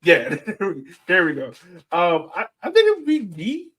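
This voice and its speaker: A man's voice: sits high, huge pitch swings, a little slow, a bit bright, rough recording. A man hosting a podcast, chatting casually about sports in an informal, bantering way. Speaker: podcast host.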